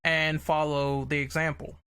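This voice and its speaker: A man with a fairly high, very bright and clear voice hosts a casual panel discussion. He speaks informally and freely gives his opinions. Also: flat delivery, a little slow. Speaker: podcast host.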